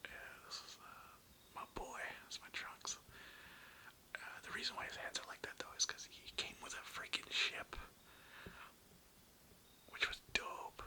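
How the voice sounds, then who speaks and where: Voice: measured pace, long pauses. A young adult ASMR creator whispering breathily in a casual, slangy, rambling way with some swearing. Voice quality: breathy, mostly unvoiced whisper. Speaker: ASMR video creator and host.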